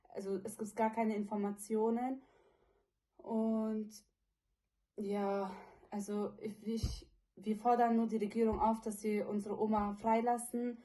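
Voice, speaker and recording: A young woman, about eighteen, gives a personal testimony in her own unscripted words. Her voice is mellow and sits at a medium pitch for a woman. She speaks earnestly and informally, often halting to find the next phrase. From from China, living in Germany since childhood. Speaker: witness giving testimony about a detained relative.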